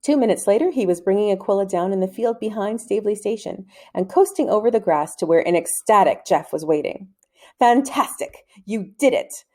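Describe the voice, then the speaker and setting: A woman reading a children's novel aloud as a storyteller, in measured, clearly phrased narration. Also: medium pitch, a little mellow.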